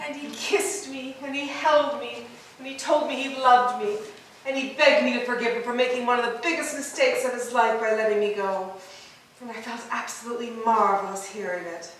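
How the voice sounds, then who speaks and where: Woman playing dreamy wife in a stage play rehearsal, highish voice, super echoey room. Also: fairly even intonation, moderate pace, a bit bright, natural voice. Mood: rapturous. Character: wistful wife rapturous over her imaginary old lover. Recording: distant mic, echoey room, faint noise.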